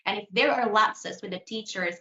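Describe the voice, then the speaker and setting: A woman's voice: mid-range voice, moderate pace, sharp tone. A Filipino woman, an English teacher and former team leader, explaining her views at length in an earnest, conversational way. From Philippines. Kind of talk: conversational interview. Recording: roomy, muffled sound.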